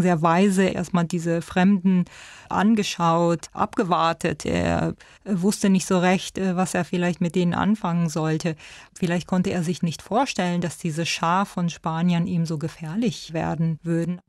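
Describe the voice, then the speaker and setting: A woman's voice: fairly low pitch, flat delivery, a little slow, a little mellow, dry recording, studio quality. A woman speaking as an expert, explaining in an unscripted interview answer. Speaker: expert, ethnologist.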